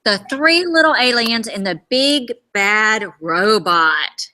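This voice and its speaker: A woman with a bright, fairly high voice talks to young children at an unhurried pace. She is encouraging and full of exclamations, like a school librarian leading story time. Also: expressive. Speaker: school librarian hosting a remote read-aloud. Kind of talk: read-aloud to young children.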